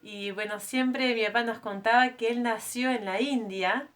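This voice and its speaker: A woman's voice: on the high side, normal speed, fairly bright tone. A French-born woman in her thirties shares her personal testimony as a missionary. She speaks to a group of women in an earnest, conversational tone. Her speech is full of small hesitations and self-corrections. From France. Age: 30s.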